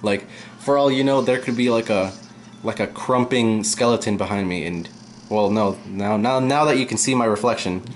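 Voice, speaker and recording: A young man giving casual, rambling commentary while playing a video game, his voice low and at times monotone. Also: moderate pace, a little hiss or hum. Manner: rambling, chatty, drifts off topic. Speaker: let's play gamer. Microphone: camera aimed at the game screen.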